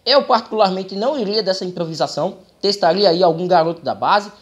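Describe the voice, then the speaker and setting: A man's voice: high-pitched, lively intonation, fast. A young man with a Brazilian accent giving football commentary to camera, explaining things and often adding short checking tags. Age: young adult. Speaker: football commentator and channel host. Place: small room indoors.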